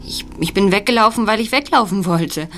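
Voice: mid-range voice, a little fast, a bit bright, slight background noise, lightly acted. An actor playing an uneasy, reluctant boy detective sidekick in an audio drama, plain-spoken and a little hesitant. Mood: uneasy, defensive.

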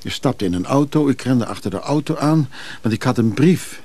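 An actor playing a restless, moody lover in a radio drama, speaking haltingly and reflectively. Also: male voice, mid-range voice, expressive, darkish tone. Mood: haunted, brooding. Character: restless moody male lover haunted by dreams. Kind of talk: intimate radio drama acting.

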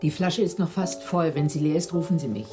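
A low female voice, a dutiful nurse in a radio play. She is matter-of-fact, with music clearly behind her. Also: animated, a little fast. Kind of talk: 1980s German radio drama acting.

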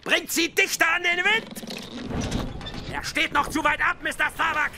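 An actor playing an obsessed, commanding whaling captain in a German radio play, theatrical and gravelly, swinging from mutters to bellowed orders. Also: male voice, extremely high pitch, measured pace, over music, exaggerated voice. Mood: commanding, urgent.

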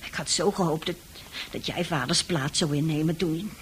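A woman playing a middle-aged, nagging mother in a radio play, with a husky voice and a hesitant, reproachful manner. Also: sits low, normal speed, a little hiss or hum, lightly acted, poor audio. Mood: reproachful, worried. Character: worried, weary, nagging mother of a teenage son.

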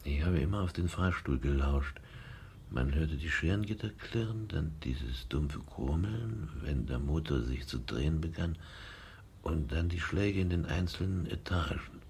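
Radio drama: haunted man in midlife, very low voice, brooding storyteller, faint rumbling noise. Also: lively intonation, a little slow, heavily acted. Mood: brooding, sardonic. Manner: brooding storytelling, dropping to hushed tones. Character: haunted middle-aged man, brooding and sardonic.

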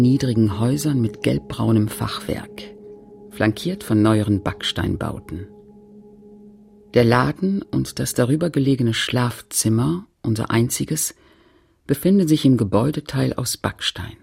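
A woman playing a sober narrator recalling her childhood home in a radio play, measured and factual, with a husky, creaky voice. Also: very deep voice, darkish tone, full character voice. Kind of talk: literary radio play narration in German and French.

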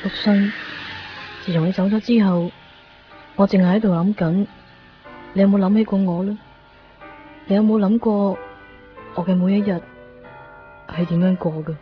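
Woman acting heartsick brooding hong kong girl, cantonese radio monologue, pauses a lot, dull old recording, soft music under. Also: mid-range voice, steady tone, lightly acted. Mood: mournful. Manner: brooding, halting inner monologue. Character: lovelorn young woman obsessed with vanished lover. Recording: muffled 1990s radio drama over music.

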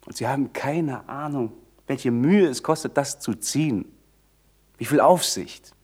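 An actor in a German radio play, playing a haughty, pious young aristocrat with a husky voice and a formal, lecturing manner. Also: male voice, mid-range voice, a little slow, darkish tone. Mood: condescending, indignant. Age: young adult.

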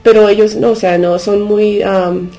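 A woman from the United States, a native Spanish speaker, talking unscripted as a radio interview guest. She speaks haltingly, searching for words and slipping in English fillers. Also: mid-range voice, steady tone, warm tone, faint music behind, low-quality recording. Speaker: interview guest from a punk collective.

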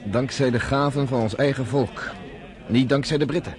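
A man's voice: medium pitch, normal speed, music in the background, lightly acted. A man playing a self-assured resistance leader. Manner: confident, assertive, persuasive. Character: confident underground leader, proud and forceful. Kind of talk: radio drama acting.

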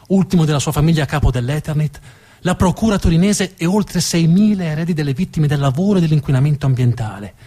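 A man giving a scripted dramatic narration as a theatre storyteller, measured and deliberate, with pauses and emphatic repetition. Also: on the high side, dark tone, breathy edge. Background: plucked guitar music.

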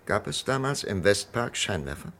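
Doctor, probing and insistent, gravelly edge, old radio play with faint hiss. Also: male voice, fairly low pitch, very animated, moderate pace, pushed and bright, full character voice. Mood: probing, controlled. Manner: insistent, questioning. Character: persistent, probing male doctor challenging a death sentence. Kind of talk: German radio crime drama acting. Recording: faintly noisy vintage radio drama recording.

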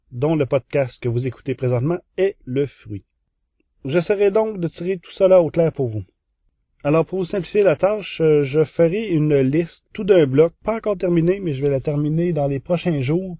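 A man's voice: fairly high pitch, warm tone, no echo, clean studio sound. A man with a Quebec French accent presenting a semi-scripted podcast news segment in an orderly, formal way.

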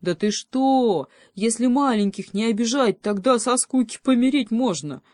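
A woman voicing a boastful, bullying young male wolf cub in a children's story, loud and exclaiming. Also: mid-range voice, animated, measured pace, no echo, studio quality, natural voice. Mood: indignant.

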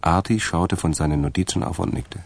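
A man narrates a crime radio drama in a rock-bottom register. His voice is husky and full of gravelly fry, delivering scripted prose. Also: low-pitched, fairly quick.

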